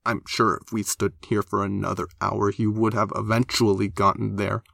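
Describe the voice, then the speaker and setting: A man voicing a blunt, sardonic young male character in an audiobook, with a dry, deadpan delivery. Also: low-pitched, moderate pace, vocal fry, no echo, full character voice.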